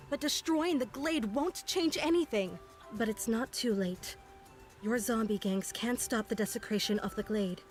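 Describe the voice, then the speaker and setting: Fantasy game fairy warrior, played by a woman: young, earnest, highish, formal and resolute, over music. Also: lightly acted. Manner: solemn, declamatory. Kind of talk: scripted fantasy game dialogue.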